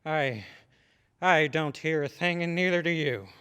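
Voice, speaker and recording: A man playing a stern, irritable adult who scolds children in an old-time radio drama, gruff and dismissive. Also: fairly high pitch, measured pace, sharp tone. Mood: irritated. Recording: long hall-like reverb.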